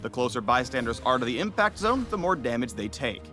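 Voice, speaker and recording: Lively upbeat male game narrator. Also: medium pitch, brisk, faint music behind. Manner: upbeat, playful announcer delivery. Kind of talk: scripted promotional narration.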